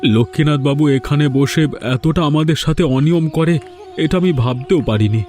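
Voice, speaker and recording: A man's voice: on the high side, moderate pace, a little mellow, soft background music, close to an everyday voice. A man playing a put-upon but determined village fisherman in a cartoon folk tale. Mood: resolved, indignant. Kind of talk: animated folk-tale dialogue.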